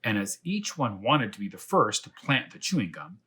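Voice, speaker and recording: A man speaking as a first grade teacher at storytime, talking to young children in simple, short, repeated phrases, with a husky voice. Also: on the low side, big pitch swings, normal speed, pushed and bright. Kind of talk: storytime read-aloud and discussion for young children.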